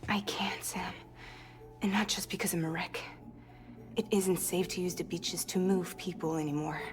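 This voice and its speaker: An actress playing a weary, wounded but determined woman in a cinematic video game, speaking softly, at times nearly whispering. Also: fairly low pitch, steady tone, moderate pace, natural voice. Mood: exhausted, wry. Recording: prominent music behind the voice. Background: music.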